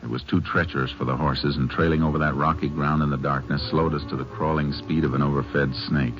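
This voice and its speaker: Very deep-voiced, terse Texas Ranger. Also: male voice, fairly even intonation, fairly quick, music in the background. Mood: calm, businesslike. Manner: terse, plain-spoken. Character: steady, firm Texas Ranger lawman. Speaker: lead of the show, also narrating. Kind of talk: 1950s radio western acting and narration. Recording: muffled old radio recording, faint hiss.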